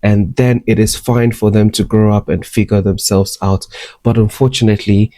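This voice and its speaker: A man's voice: fairly low pitch, level tone, moderate pace, mellow, dry recording. A young man from Ghana, candidly and reflectively telling his life story to the camera at home. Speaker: vlogger. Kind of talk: personal storytelling vlog. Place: at home, in bed. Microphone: camera close up, talking to camera.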